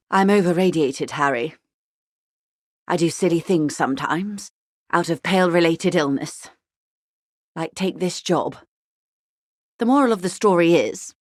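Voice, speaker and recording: A poised older businesswoman speaks in a low register, captured close and dry. She is a shrewd video game negotiator, genteel and measured, with a wry diplomatic polish. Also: no echo. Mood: weary, confiding. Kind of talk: video game character dialogue.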